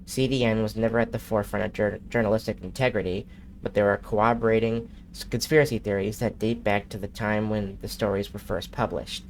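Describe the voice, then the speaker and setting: A man streaming a video game who reads in-game messages aloud in a steady, unhurried way, with a nasal voice and occasional slips. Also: lowish voice, slight background noise, poor audio. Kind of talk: reading in-game messages aloud during a live gameplay stream.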